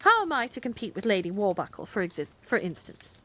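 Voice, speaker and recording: Woman reading as a prim touchy witch, flustered, lively swooping voice, storybook. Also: mid-range voice, normal speed. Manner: prim, short-tempered, easily flustered.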